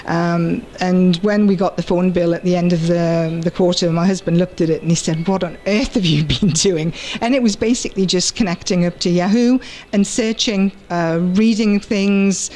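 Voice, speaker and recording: A middle-aged British woman translator, speaking thoughtfully in an interview and pausing often with fillers. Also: lowish voice, darkish tone. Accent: British English. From United Kingdom. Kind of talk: conference interview and personal story.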